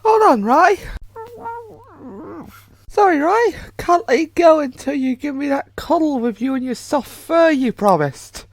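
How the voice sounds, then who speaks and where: Girl doing a doting robot lady cooing baby talk, really high n bouncy, dry comic dub. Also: expressive, a little slow. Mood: playful, possessive. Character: doting, clingy, babying female animatronic caretaker. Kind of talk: amateur comic dub voice acting.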